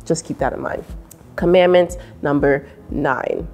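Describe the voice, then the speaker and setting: A woman in her twenties with an American accent, giving sassy, animated advice straight to camera in an indoor room. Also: measured pace, soft background music. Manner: sassy and animated, mimics voices in high squeals. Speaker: vlogger. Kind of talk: direct-to-camera advice vlog. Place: indoor room with painting behind.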